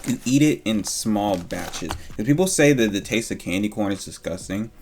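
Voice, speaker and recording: A man's voice: fairly low pitch, lively intonation, normal speed, darkish tone, slight background noise. A man giving casual reaction commentary in an informal way, repeating phrases for emphasis. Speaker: reaction video host.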